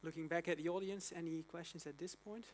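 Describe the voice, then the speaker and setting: Male academic moderator, slightly high pitch, halting, filler-heavy questioning. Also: fairly even intonation, a little hiss or hum. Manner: halting, many fillers and restarts.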